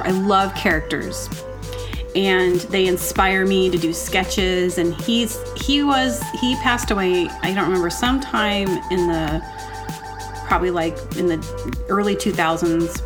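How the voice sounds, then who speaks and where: A woman hosting a podcast, talking casually and rambling with lots of fillers and restarts. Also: mid-range voice. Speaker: podcast host. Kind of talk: casual personal monologue. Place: temporary home setup. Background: music.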